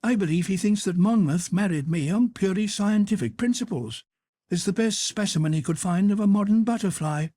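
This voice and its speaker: A man's voice: high-pitched, animated, dark tone, no echo, pitched above the performer's own voice. A man voicing a teasing, witty duchess. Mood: playful. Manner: arch, teasing, quick-witted banter. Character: witty flirtatious aristocratic woman, a duchess. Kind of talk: audiobook reading.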